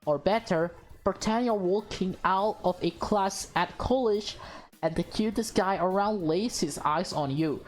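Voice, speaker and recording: A woman's voice: mid-range voice, a little slow, soft background music, natural voice. A woman voicing a bossy, vain young woman in a visual novel, with a demanding, teasing and theatrical manner.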